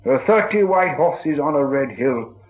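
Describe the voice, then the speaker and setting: A man's voice: fairly high pitch, animated, normal speed, highs cut off, pitched above the performer's own voice, lightly acted. Bilbo, an anxious little hobbit, as a man puts him on. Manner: anxious, eager to agree. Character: frightened small male hobbit, polite.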